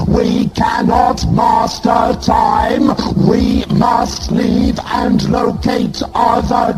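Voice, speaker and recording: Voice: medium pitch, roomy sound, rough recording. An actor voicing fanatical alien war machines in an audio drama, with a harsh, grating, staccato delivery through an electronic robot filter. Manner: staccato, droning, shouted delivery. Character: fanatical alien Daleks, harsh armoured war machines arguing over orders. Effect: ring-modulated robotic voice filter. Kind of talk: science fiction audio drama acting.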